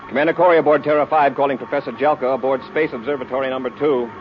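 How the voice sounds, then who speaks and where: A dull, noisy vintage radio recording of a man acting as a space patrol commander. He talks fast in clipped, authoritative orders, in the crisp style of a 1950s adventure serial. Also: mid-range voice, fairly even intonation, natural voice. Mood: businesslike.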